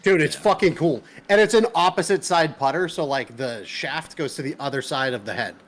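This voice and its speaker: A man's voice: fairly high pitch, normal speed. A man co-hosting a gaming podcast, joking casually with heavy swearing and slang. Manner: joking, heavily profane, slangy. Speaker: podcast co-host. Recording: clear background noise, room ambience.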